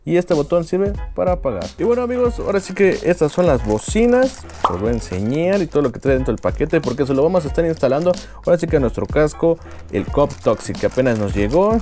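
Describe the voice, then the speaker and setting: A man's voice: medium pitch, dark tone. Chatty Mexican man unboxing gear. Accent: Mexican Spanish. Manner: chatty, slangy, leans on filler phrases. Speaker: moto vlogger. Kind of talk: casual product unboxing.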